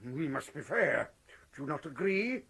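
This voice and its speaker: Casting: a portly, genial gentleman villain for radio drama, raspy high voice, ornate old-fashioned politeness. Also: very animated, normal speed, exaggerated voice. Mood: smoothly menacing. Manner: florid, courteous, chuckling menace. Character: jovial, verbose male professor turned crime lord, large-bodied. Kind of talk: period radio drama acting.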